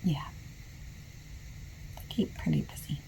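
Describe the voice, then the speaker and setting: A woman speaking softly and sometimes in a whispery breath, gently reassuring, in an ASMR roleplay as a friendly bookstore clerk. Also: slight background noise, rough recording. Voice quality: soft, often dropping into whispery breathiness. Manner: gentle, unhurried, reassuring customer-service talk. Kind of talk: soft-spoken ASMR roleplay.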